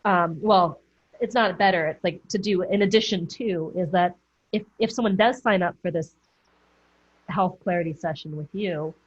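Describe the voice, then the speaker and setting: A woman's voice: on the low side, normal speed, husky. A woman mentor giving chatty, encouraging coaching feedback on a video call, often correcting herself mid-thought. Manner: chatty, encouraging, thinks aloud with self-corrections. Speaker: business mentor running a group coaching call. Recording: dull, muffled video call audio.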